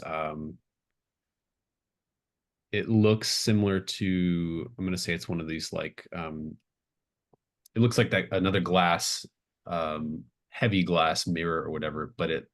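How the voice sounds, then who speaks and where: A man improvising casual narration as a game master, halting and thinking aloud. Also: sits low.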